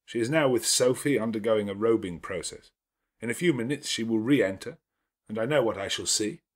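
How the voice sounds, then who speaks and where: A brooding, middle-aged gentleman played by a male narrator from a classic novel audiobook. His speech is grand, emphatic and sardonic, with a domineering, teasing edge and a slightly husky tone. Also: lowish voice, close to an everyday voice. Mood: bitter, sardonic.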